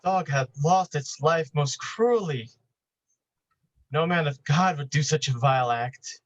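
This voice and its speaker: Lightly high male voice, formal archaic diction, dutiful constable acted by a man, Shakespearean stage drama. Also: a little slow, muffled recording, lightly acted. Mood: grave. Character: dutiful, cautious town constable and father.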